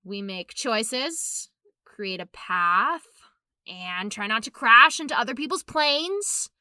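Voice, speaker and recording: A woman's voice: fairly high pitch, animated, slow pace, pushed and bright, exaggerated voice. A woman acting an anxious, self-deprecating single New Yorker in a comedic audio drama monologue about herself. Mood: reflective, earnest. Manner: self-deprecating, wry, confessional. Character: anxious single New York woman spiraling into existential crisis. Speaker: lead actor in radio comedy.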